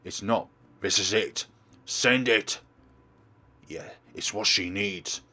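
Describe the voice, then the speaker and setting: A man's voice: lowish voice, takes it slowly, sharp tone, husky. A man narrating a game playthrough, reading visual novel text aloud.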